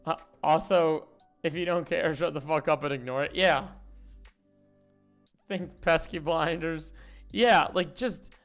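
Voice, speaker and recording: Brief: young male streamer, smooth voice pitched a bit high, blunt and profane. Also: slow, pauses often, a bit bright, soft background music. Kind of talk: casual stream commentary.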